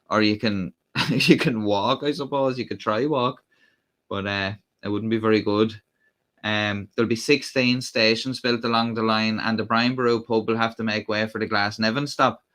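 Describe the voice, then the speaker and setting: A man's voice: on the low side, sharp tone. An Irish man hosting a casual livestream, rambling with lots of fillers and restarts. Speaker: livestream host. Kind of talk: casual live-stream chat.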